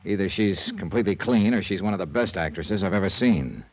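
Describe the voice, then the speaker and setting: An actor playing a wry, dogged insurance investigator in a 1950s radio drama, narrating and wisecracking in a dry manner. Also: male voice, low-pitched, animated, fairly quick, a little mellow, dry recording. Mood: matter-of-fact. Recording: dry old radio recording.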